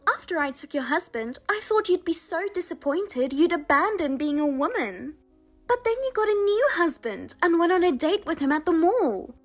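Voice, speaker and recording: A woman voicing a spiteful younger sister who steals husbands, with a high, sing-song, mocking manner. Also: sing-song, soft background music. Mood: taunting. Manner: mocking, self-satisfied, drawn-out teasing. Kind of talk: narrated drama voice-over.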